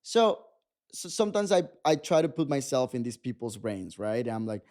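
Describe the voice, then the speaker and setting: A man's voice: mid-range voice, big pitch swings, normal speed, darkish tone. A man in his twenties with a Mexican accent, a podcast guest chatting casually and animatedly, often restarting his sentences. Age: 20s. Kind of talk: casual chat.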